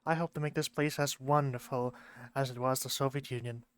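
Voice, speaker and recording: A man voicing a pompous, grandiose communist leader in a put-on foreign accent that keeps changing, declaiming slowly. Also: medium pitch, steady tone, a bit bright, clean tone, natural voice. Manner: grandiose, slow declaiming speeches. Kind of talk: amateur lore video skit.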